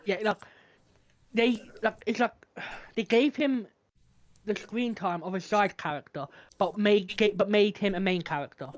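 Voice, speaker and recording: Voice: mid-range voice, a little slow. Co-host for a film podcast; presses their argument, trips over sentence starts, middle-range voice. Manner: persistent, argumentative, stumbles over openings. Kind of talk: casual film discussion.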